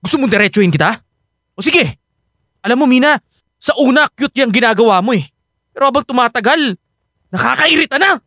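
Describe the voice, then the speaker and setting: An actor playing an irritable, evasive husband in a Tagalog radio drama, with a Filipino accent and halting delivery. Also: male voice, very high-pitched, animated, pushed and bright, dry recording, studio quality, heavily acted. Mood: irritated. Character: exasperated, conflicted husband dodging his wife's questions.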